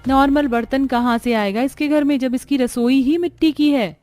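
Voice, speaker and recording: A woman's voice: sits high, moderate pace, darkish tone, no room sound, clean studio sound, lightly acted. A woman voicing snobbish, disdainful young women in a Hindi cartoon story, complaining haughtily. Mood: disgusted, haughty. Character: snobbish, disdainful young female friends of poor girl.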